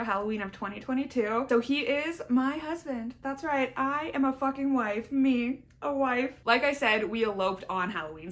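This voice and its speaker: A woman's voice: mid-range voice, a bit bright. A young woman with a Canadian accent giving a casual, candid personal update to her viewers, chatty and emphatic. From Southern Ontario, Canada. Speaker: YouTuber.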